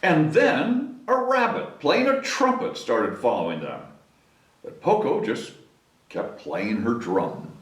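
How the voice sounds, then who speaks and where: A man's voice: mid-range voice, very animated, slow, fairly bright tone. A man reading a children's story aloud expressively, with chatty asides to young listeners. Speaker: storybook reader, city mayor. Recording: roomy echo, distant mic, faint noise.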